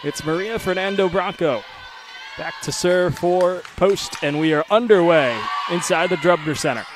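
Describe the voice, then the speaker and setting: A man calling a college volleyball match as play-by-play announcer, giving lineups and background in a steady, informative way. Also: sits high, normal speed, crowd noise, noisy background. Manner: steady informative delivery with occasional stumbles over names. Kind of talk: live sports commentary.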